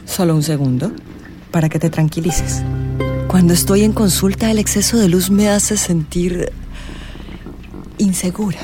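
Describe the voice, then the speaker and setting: An actress with a Colombian accent playing a mysterious, self-assured tarot reader in a radio drama, theatrical and sardonic. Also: female voice, on the low side, unhurried, pauses often, music in the background, natural voice. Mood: mysterious, grand.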